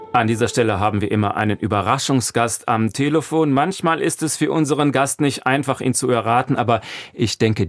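A man's voice: fairly low pitch, no room sound, clean studio sound. A man hosting a radio talk show, asking questions in a conversational interview style. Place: radio studio.

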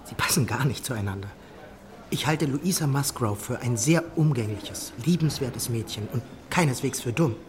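An actor playing an earnest, restrained Regency naval captain in a radio drama, speaking in a measured, formal manner. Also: male voice, mid-range voice, a little hiss or hum, lightly acted. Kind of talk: German radio drama dialogue.

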